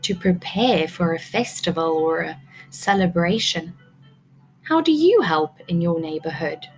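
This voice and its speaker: A woman's voice: fairly low pitch, animated, measured pace, soft background music, rough recording. A woman teacher reading a picture book aloud to young children, expressively, prompting them with questions.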